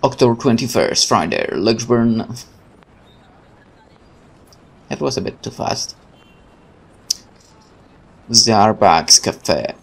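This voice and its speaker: A man's voice: medium pitch, expressive, slow pace, vocal fry, pauses often, a little hiss or hum, poor audio. A man hosting a let's play, switching between casual commentary and reading the game's narration aloud, with game music behind him. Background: video game music.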